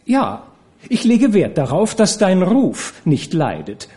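A man voicing a coquettish young actress who teases and cajoles in a high, airy voice. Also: wildly swooping pitch, takes it slowly, dark tone, full character voice. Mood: teasing. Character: coquettish, teasing young woman, a theatre actress.

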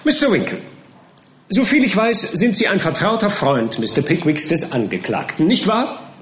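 A sharp, needling cross-examiner, put on by a male audiobook reader fires off loud, sarcastic questions in a high, jumpy voice. He sounds relentless and mocking. The reading has a roomy echo. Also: very animated, moderate pace, a little hiss or hum. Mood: badgering.

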